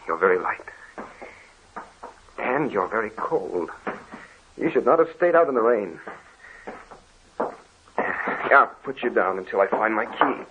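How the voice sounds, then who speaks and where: An actor playing a kindly, lonely Dutch man who speaks gently and earnestly in an old-time radio drama. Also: on the low side, animated, slow pace, long pauses. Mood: tender. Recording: dull lo-fi old radio recording with faint hiss.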